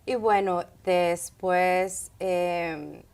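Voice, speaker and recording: A middle-aged woman from Caracas with a Venezuelan Spanish accent, telling her story in conversational interview answers with halting, thoughtful pauses. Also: fairly low pitch, fairly even intonation, a little mellow. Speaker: interview guest, entrepreneur. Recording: clearly noisy background ambience.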